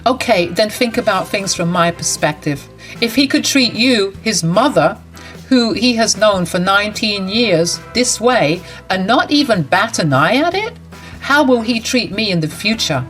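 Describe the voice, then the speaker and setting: A woman plays a protective young bride with a raised, lively voice, brisk and firm and quick to indignation. Faint music runs underneath. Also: medium pitch.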